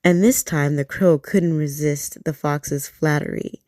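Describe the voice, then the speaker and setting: A woman reading a bedtime story aloud in a soft, gentle, soothing way, close to the mic. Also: low voice, fairly even intonation, unhurried, dry recording.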